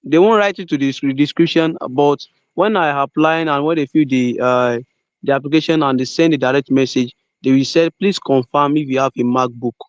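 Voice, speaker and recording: A man's voice: mid-range voice, a little fast, clear, resonant tone, no room sound. A man asking for advice on a call, giving short and hesitant answers. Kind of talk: casual chat on a call. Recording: dry, lo-fi call audio.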